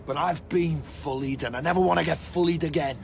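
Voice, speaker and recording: Radio comedy crook: Cockney, higher-set creaky voice, slangy menace, emphatic warnings. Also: male voice, fairly even intonation, a little fast, slight background noise. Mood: threatening. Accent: London Cockney. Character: menacing small-time criminal laying down the law to accomplice. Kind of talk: radio comedy-drama acting.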